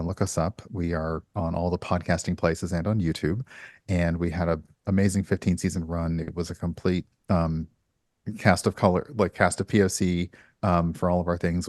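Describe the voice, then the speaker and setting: A man with a soothing voice, introducing himself on a panel in a relaxed, easygoing way. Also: sits low, dry recording, clean studio sound. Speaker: panelist, streamer. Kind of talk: casual panel self-introduction.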